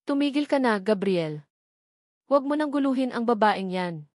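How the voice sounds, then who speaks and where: A woman's voice: fairly high pitch, expressive, normal speed, a little mellow, no echo, clean studio sound, close to an everyday voice. Casting: haughty rich mother, a woman narrator acting cutting and controlling, for melodramatic storytelling. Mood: imperious.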